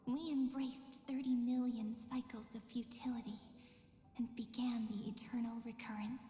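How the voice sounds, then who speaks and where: A gentle young game heroine looking back wistfully. Her voice is high and breathy, with a very slow, measured delivery. It rings in long reverb over clear music. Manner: slow, tender, measured storytelling. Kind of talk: scripted game story voice acting. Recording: echoey long reverb, loud music behind.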